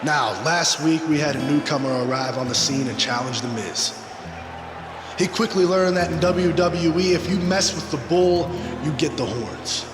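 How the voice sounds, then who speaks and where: An actor playing a smug wrestling villain musician in a video game, boasting and taunting in a drawn-out delivery. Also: male voice, fairly high pitch, lightly acted. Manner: slow, drawn-out, third-person boasting. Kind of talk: wrestling promo acting. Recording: echoey arena over loud guitar music.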